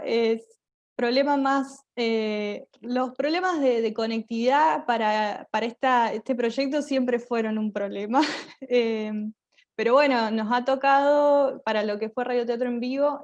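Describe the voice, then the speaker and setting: A woman's voice: on the high side, unhurried, dull audio. A woman with an Argentine accent speaking as a guest, giving informal, anecdotal answers about her work. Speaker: guest, radio theatre maker.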